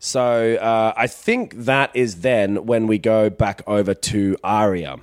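A man's voice: fairly low pitch, steady tone, takes it slowly. Profane, jokey man recapping a show. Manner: profane, jokey, slips into mock voices of characters. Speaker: podcast co-host. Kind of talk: casual chat recapping a TV episode.

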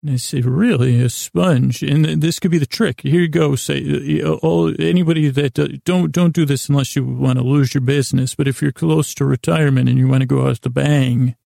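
A man hosting a sleep podcast with a gravelly voice, improvising a meandering, whimsical monologue that often trails off and restarts. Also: mid-range voice, steady tone, brisk, few pauses, no room sound, clean studio sound. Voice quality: gravelly, creaky. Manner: gently absurd, whimsical musing. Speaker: podcast host.

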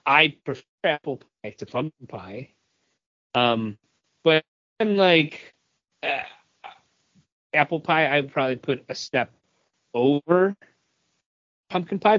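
A man's voice: a little high, fairly bright tone, no room sound, lo-fi sound. A man co-hosting a podcast, talking casually with the others. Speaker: podcast host. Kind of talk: casual group chat.

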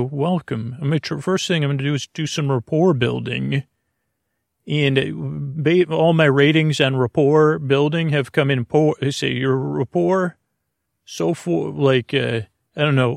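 A man with a creaky, gravelly voice hosting a bedtime podcast in a lulling, meandering, halting monologue full of stammers and tangents. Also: medium pitch, dry recording, clean studio sound. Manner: soothing, lulling. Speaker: podcast host. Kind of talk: rambling bedtime monologue to lull listeners to sleep.

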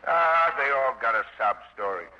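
An actor playing a cynical, wisecracking American soldier with a husky voice and clipped, sardonic delivery in a 1950s radio drama. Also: male voice, mid-range voice, expressive, normal speed, slight background noise, natural voice. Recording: dull, lo-fi 1950s radio recording.

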